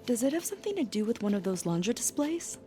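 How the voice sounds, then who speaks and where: Caring young woman, concerned, game cutscene, naturalistic, clear background music. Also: a little high, sing-song, fast talker, warm tone.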